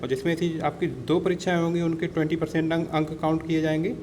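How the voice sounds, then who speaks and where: Guy teaching informally, slightly high voice, repeats stuff, bit of background noise. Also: little pitch movement, fast talker, a little mellow. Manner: repetitive, addresses listeners as friends, mixes in English words. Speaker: exam-prep instructor. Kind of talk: informal explainer lesson on police recruitment.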